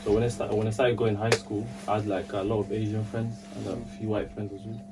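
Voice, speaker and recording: Young guy in his twenties with a low voice, talking quickly and easily, spinning stories in slang. he sits a way off the mic, with a steady hum audible under him. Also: recorded from a distance. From Oromia, raised in Kenya. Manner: relaxed storytelling, slangy. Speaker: podcast guest. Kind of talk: casual group chat.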